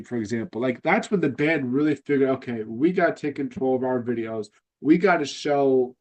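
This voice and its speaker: A man hosting a podcast, congested and nasal, talking in a rambling casual way with lots of fillers and restarts. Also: medium pitch. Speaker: podcast host. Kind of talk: casual fan chat.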